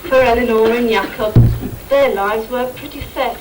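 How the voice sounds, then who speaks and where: Female pantomime narrator, higher-pitched voice, reciting rhyming verse on a noisy live recording. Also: normal speed. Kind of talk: rhyming scripted stage narration. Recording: distant microphone, clear background noise.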